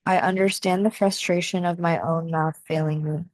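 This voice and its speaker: A young American woman reading her own reflective video essay in a measured, soft-spoken way, with deliberate pauses. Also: fairly low pitch, fairly even intonation, no echo. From United States. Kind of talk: scripted reflective narration.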